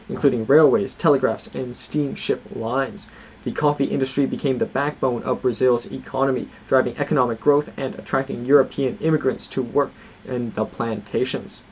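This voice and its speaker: A man of ordinary middle pitch hosts a history lesson, reading prepared notes in an even, steady way. He often stops to restart a word or to add a loose, unscripted aside of his own. The recording sounds slightly dull, with a faint hiss of noise behind him. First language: not Portuguese. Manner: reads scripted points, then adds loose unscripted asides with restarts. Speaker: history channel host.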